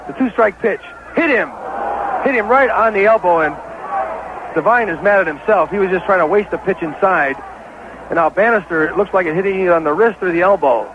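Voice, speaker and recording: A high-voiced American man calls a baseball game in 1970s radio style. He is an excitable, openly partisan play-by-play announcer. The old broadcast recording sounds dull, with clear ballpark noise behind him. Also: fairly quick. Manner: excitable, partisan home-team caller. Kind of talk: 1970s live radio sports commentary.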